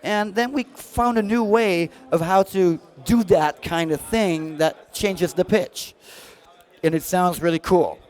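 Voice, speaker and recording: A man's voice: sits high, takes it slowly, a little mellow. A man giving a casual, chatty product demo in a jokey, slangy way. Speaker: product demonstrator at a music trade show.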